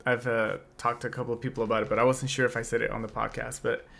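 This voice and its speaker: A man's voice: medium pitch, little pitch movement, rapid-fire, gravelly, fairly bright tone. Rambling, reflective young man. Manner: reflective, rambling with many restarts. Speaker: podcast co-host. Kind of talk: casual chat.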